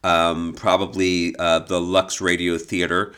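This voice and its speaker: A man's voice: low voice, flat delivery, a little slow, pushed and bright, clean tone. A man hosting an informal podcast, explaining history in detail with an enthusiastic, knowledgeable manner. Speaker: podcast host and expert guide.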